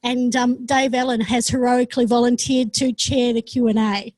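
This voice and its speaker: A woman chairing a conference session, introducing the speakers in a halting way with frequent ums. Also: on the high side, level tone, a little mellow, no room sound, low-quality recording. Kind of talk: event introduction.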